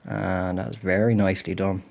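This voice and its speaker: A man's voice: low-pitched, little pitch movement, normal speed, gravelly, darkish tone. A British man hosting a golf video game playthrough, chatty and thinking aloud, sounding a little throaty and stuffed up from a cold. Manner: chatty, apologetic, thinking aloud while playing. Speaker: gaming channel host. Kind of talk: relaxed golf game commentary.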